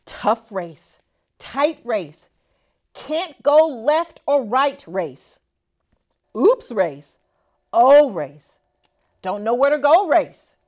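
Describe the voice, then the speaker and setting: A middle-aged woman reads a picture book to children. Her fairly high voice moves very slowly and theatrically, with wide swings in pitch and emphasis on key words. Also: sing-song, darkish tone. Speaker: school superintendent reading aloud to children. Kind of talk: expressive children's picture-book read-aloud.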